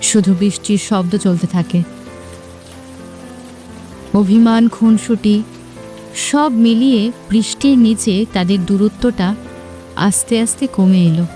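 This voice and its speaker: A woman narrates a romantic audio story in a medium-pitched voice. The delivery is scripted storytelling, with music playing underneath. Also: unhurried, pauses often, mellow.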